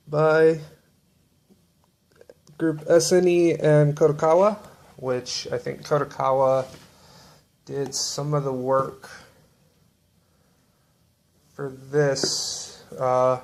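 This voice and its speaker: A man showing off books from his shelf in a relaxed, rambling way with lots of fillers. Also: mid-range voice. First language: English. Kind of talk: casual show-and-tell.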